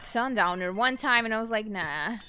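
A woman's voice: fairly high pitch, lively intonation, moderate pace, pushed and bright, slight background noise. A young woman streamer giving chatty, reactive commentary while playing, from a small room with a desk mic. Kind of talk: casual game commentary.

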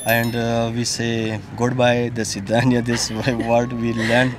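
A man in his thirties from Pakistan, a native Pashto speaker, answering a street interview in halting English with many fillers. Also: mid-range voice, completely flat delivery. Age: 30s. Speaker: passer-by interviewee. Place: outdoor park path. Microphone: handheld mic held close. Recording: outdoor street noise.

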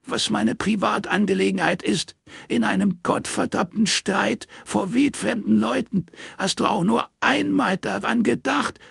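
A man's voice: high voice, a little slow, vocal fry, a bit bright, breathy edge, no room sound, clean studio sound, voice pushed up, heavily acted. A man voicing a furious, hurt young woman who stammers and rants in an audiobook. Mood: hurt, tearful anger. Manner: agitated, stammering over words in anger.

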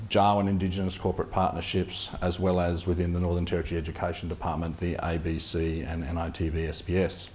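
Middle-aged Australian moderator, very deep and unhurried, dry self-mocking humour, faint room hiss. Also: male voice. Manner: self-deprecating, relaxed, measured. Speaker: panel moderator. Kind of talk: introducing a panel guest. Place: conference stage before a large audience, indoors.

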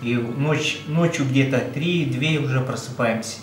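A man's voice: mid-range voice, measured pace, faint music behind. A man, chatting casually on camera in a small kitchen, plain-spoken and chuckling often. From Yakutia. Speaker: truck driver on a road trip. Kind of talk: casual vlog narration. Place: small rented apartment kitchen, indoors. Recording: echoey room with steady noise.

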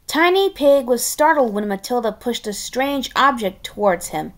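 A woman's voice: on the high side, expressive, moderate pace. A woman reading a storybook aloud expressively, with wry, sardonic asides. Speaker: storybook reader.

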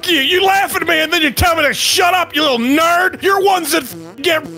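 A man doing a squeaky gravelly shouting troll. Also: animated, moderate pace, full character voice. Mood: taunting. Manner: shouting insults, cackling, taunting. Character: squeaky-voiced grumpy thrice-divorced man gamer troll. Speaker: gamer trolling in voice chat. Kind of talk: game voice chat trolling.

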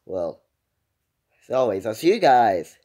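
A man's voice: fairly low pitch, sing-song, unhurried, dark tone. A young man hosting a casual reaction video, recorded on a phone in a room at home. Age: teenager or young adult.

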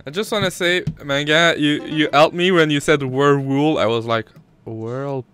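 A young man in a game voice chat, speaking halting English with many restarts. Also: on the high side, fairly even intonation, fairly bright tone, clear, resonant tone, no room sound, faint music behind. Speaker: player. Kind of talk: casual gaming chat.

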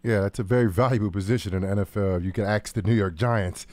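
A man talking football casually and a little haltingly as co-host, close on a studio microphone. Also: lowish voice, fairly even intonation, a little mellow, no echo. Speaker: sports show co-host, former player. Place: small podcast studio. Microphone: boom-arm studio microphone, close.

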